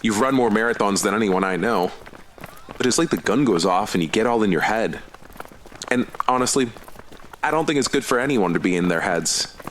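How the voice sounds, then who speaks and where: A man's voice: fairly low pitch, lively intonation, a little fast, a bit bright, slight background noise, rough recording. Easygoing, enthusiastic male running buddy played by an actor. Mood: concerned, earnest. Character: friendly, earnest, cryptid-obsessed male running buddy. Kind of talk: conversational audio drama acting.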